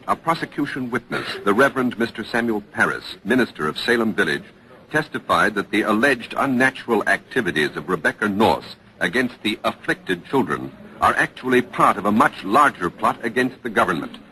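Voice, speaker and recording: A man reporting in 1940s radio news style as host, formal, crisp and probing, on a vintage broadcast recording. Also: fairly low pitch, very animated, a little fast, pushed and bright, rough recording.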